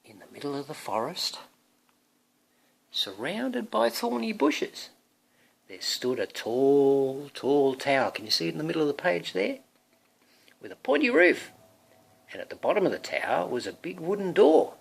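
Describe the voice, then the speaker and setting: A man's voice: fairly high pitch, big pitch swings, moderate pace, creaky, long pauses, slightly rough. A man, a father, reading a picture book aloud to his young son, drawing out words expressively and adding chatty asides that prompt the child.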